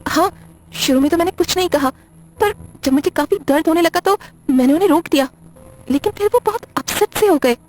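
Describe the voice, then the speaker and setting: A woman's voice: extremely high pitch, fairly quick, darkish tone, faint music behind, poor audio. A woman playing a nervous, apologetic young librarian in an audio drama, hesitant, stammering and defensive. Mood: uneasy, confessing. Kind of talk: Hindi audio drama acting.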